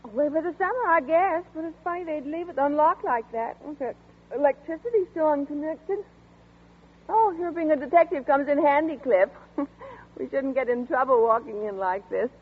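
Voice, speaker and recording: An actress playing a lively young wife who chatters brightly but scares easily, in 1940s radio acting. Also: female voice, high voice, lightly acted. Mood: chatty. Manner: bright, chatty, with quick nervous laughs. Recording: tinny old radio broadcast with faint hiss.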